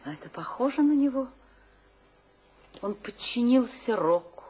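An actress playing a composed, gently ironic woman confidante in a radio drama, measured and theatrical. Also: on the high side, lively intonation, breathy edge. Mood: knowing.

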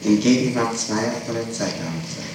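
A man's voice: medium pitch, moderate pace. Persistent male interrogator on echoey tape. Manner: probing, persistent, pointed. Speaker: police interrogator. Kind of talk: formal interrogation questioning. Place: echoey room, recorded on tape. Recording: noisy, echoey 1960s tape, far from mic.

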